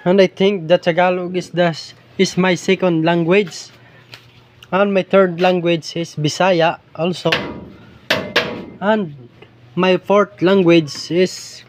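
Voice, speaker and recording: A man from the Philippines with a Hiligaynon-flavored Filipino accent and Hiligaynon as his first language, narrating over nature footage in English, halting and repeating words as he hunts for the right phrase. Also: high voice, fairly even intonation. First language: Ilonggo (Hiligaynon). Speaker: vlogger filming larvae in a field.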